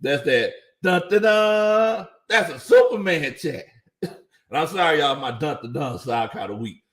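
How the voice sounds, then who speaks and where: A man talking sports on a livestream, his fairly high voice a bit worn and tired. He keeps chuckling as he reads out chats, and the sound bounces around an echoey room. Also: animated, a little slow, a bit bright. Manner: laughs often, chuckling through his lines. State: voice slightly worn out. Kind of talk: casual livestream commentary, reading viewer chats.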